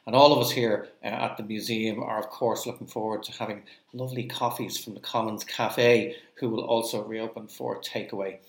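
A man, a museum director, reading formal welcome announcements in a measured way, with occasional fillers. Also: lowish voice, fairly even intonation, vocal fry. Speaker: museum director hosting an online event. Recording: roomy echo.

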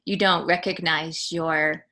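A woman's voice: deep voice, steady tone, slow pace, bright, forceful tone. A woman hosting an interview show, asking questions and encouraging her guest with frequent affirmations. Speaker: podcast host.

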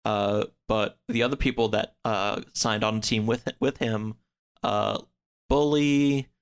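Low-voiced, creaky man chatting casually. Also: steady tone, measured pace. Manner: rambling, peppered with "like"; acts out characters' lines in quotes. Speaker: podcast guest. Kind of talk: casual chat, retelling a story.

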